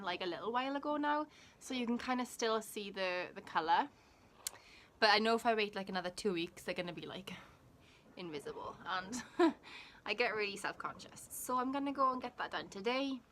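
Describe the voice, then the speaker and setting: A woman's voice: mid-range voice, fairly bright tone, a little hiss or hum. A young woman from Wales with a Welsh accent, whose first language is Welsh, chatting casually in a rambling vlog with giggly asides. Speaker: vlogger.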